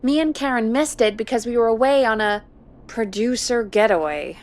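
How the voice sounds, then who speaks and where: An actress playing a frank, practical radio producer in a video game, talking in a matter-of-fact, slightly wry way. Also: female voice, a little high, normal speed, close to an everyday voice. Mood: earnest, practical. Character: practical, frank woman radio producer in 1980s small town. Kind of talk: video game voice acting, conversational.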